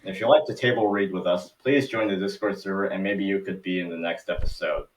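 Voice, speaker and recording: Male table-read narrator, low pitch, scripted delivery, slightly distant mic. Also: steady tone, brisk.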